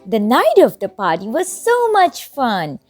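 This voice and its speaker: A high-pitched woman narrates a picture book for young children. She takes her time and swings her pitch dramatically in a sing-song storytelling manner, with music underneath. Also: wildly swooping pitch, unhurried. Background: background music.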